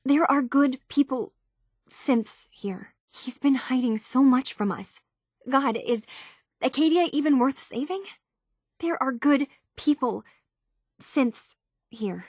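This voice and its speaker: Troubled young game heroine, earnest, halting, hushed, searching, dry studio-like sound. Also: female voice, sits high, husky, dry recording, heavily acted. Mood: troubled, pleading. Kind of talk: video game voice acting.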